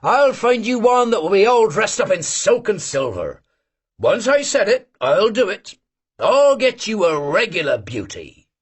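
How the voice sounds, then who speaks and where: A man voicing a boisterous, bragging old male Cossack who teases loudly and argues emphatically, in a husky voice. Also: high voice, sing-song, unhurried, pitched above the performer's own voice, heavily acted. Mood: teasing. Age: older. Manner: bellowing, teasing, emphatic.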